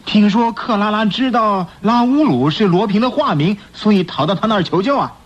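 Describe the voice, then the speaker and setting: Nervous suck-up gangster lackey, high voice, radio play style, hesitant and eager, dull lo-fi sound. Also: male voice, expressive, fairly quick. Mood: deferential. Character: nervous, servile male gangster henchman reporting to his boss. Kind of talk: theatrical radio drama acting. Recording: muffled lo-fi radio drama recording.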